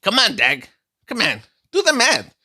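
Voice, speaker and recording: A man's voice: on the high side, very animated, moderate pace, bright, forceful tone, no room sound, clean studio sound, heavily acted. A man playing a boastful, heartbroken streetwise vato, telling a comic story in slangy talk. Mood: swaggering. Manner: slangy, calls listener "dog" constantly, boastful storytelling. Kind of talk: comic character monologue.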